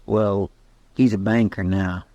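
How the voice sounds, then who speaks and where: An actor playing a homespun, earnest, deferential marshal's sidekick with a rural American drawl in an old-time radio western. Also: male voice, fairly low pitch, steady tone, takes it slowly, warm tone, no room sound. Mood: folksy, confiding.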